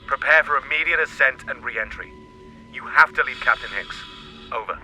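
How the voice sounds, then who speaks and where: A man's voice: mid-range voice, moderate pace, pushed and bright, soft background music. An actor playing a stern, burdened male mission control commander, speaking in a grave, clipped, authoritative way. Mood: urgent, firm. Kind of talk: dramatic audio drama acting.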